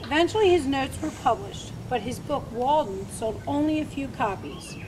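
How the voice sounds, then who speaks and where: A woman's voice: high-pitched, noisy background. Young woman reading narration aloud. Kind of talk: read narration for a school play.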